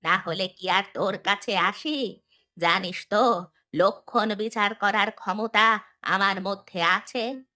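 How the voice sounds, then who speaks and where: A woman's voice: mid-range voice, steady tone, bright, forceful tone. A woman voicing a grave, knowing old village healer in a horror cartoon, speaking in an ominous manner. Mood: ominous warning. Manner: ominous, deliberate storytelling.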